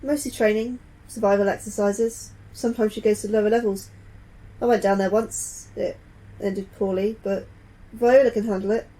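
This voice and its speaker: A woman playing a gentle, anxious, nerdy scientist in an audio drama, speaking earnestly and a little hesitantly. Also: medium pitch, fairly even intonation, moderate pace, close to an everyday voice, low-quality recording. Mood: earnest, uneasy. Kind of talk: naturalistic audio drama acting.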